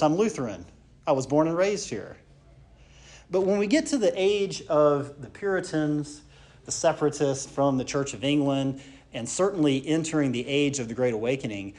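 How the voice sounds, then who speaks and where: A man gives a relaxed church history lecture, with fillers, restarts and rhetorical questions. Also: fairly high pitch, moderate pace.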